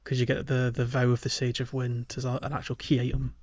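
A man's voice: medium pitch, completely flat delivery, fast talker, darkish tone. A man co-hosting a podcast, chatting about a video game in a rambling, hesitant, conversational way. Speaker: podcast co-host. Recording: dull lo-fi microphone, faint music.

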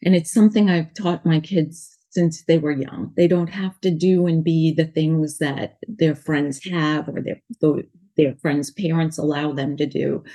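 A woman's voice: deep voice, fairly even intonation, measured pace, dark tone. A woman in her forties with a New York accent, talking earnestly in an interview as a life coach, rambling and restarting her sentences. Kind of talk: casual interview talk.

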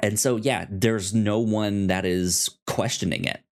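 Halting man co-hosting a casual podcast. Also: on the low side, steady tone. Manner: halting, repeats words and trails off. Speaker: podcast co-host. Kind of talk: casual TV show discussion.

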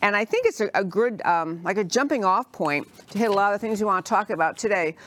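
A woman's voice: medium pitch, fairly bright tone. A woman hosting a talk show, introducing her guest with chatty enthusiasm and frequent fillers. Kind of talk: interview show introduction and questions.

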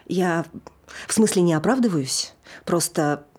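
An actress playing a tipsy, nervous married woman on stage, talking in an awkward, self-justifying way with a husky voice. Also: on the low side, measured pace, darkish tone. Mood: awkward chatter. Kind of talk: naturalistic stage acting, monologue.

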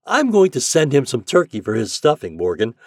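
A man's voice: on the low side, sing-song, moderate pace, a little mellow, husky, no room sound, studio quality, pitched above the performer's own voice. A man voicing a jolly, kind riverboat captain who roars and calls out heartily in a storybook. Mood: warm, brisk orders. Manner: roaring, calling out through a trumpet.

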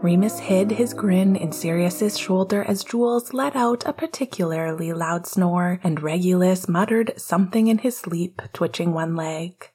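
A woman reading fiction aloud as a scripted narration, with a husky, breathy voice and a soft, gentle storytelling manner. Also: fairly low pitch, a little slow, few pauses, soft background music. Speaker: podfic reader.

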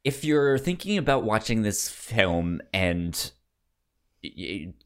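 A man co-hosting a podcast in casual chat, halting and often repeating words as he goes. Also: lowish voice, big pitch swings, clean tone. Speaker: podcast co-host. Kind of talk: casual movie discussion chat.

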